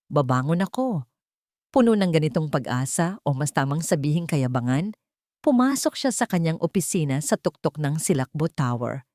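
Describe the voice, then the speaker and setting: Woman narrating drama, lively swooping pitch. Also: no echo, clean studio sound. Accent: Filipino. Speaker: audiobook narrator. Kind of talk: dramatic scripted story narration.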